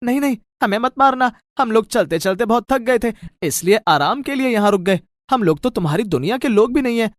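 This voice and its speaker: A man voicing a tiny, nervous magical dwarf from another world, pleading and earnest, in an animated moral story. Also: very high voice, a little fast, hardly any pauses, no room sound, studio quality, voice pushed up. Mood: frightened pleading.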